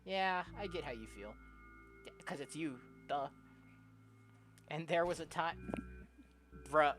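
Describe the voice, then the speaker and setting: A woman streamer doing a let's-play, reading visual novel text aloud with casual, slangy, expressive delivery. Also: sits low, takes it slowly. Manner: expressive, often giggly, slangy. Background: game music.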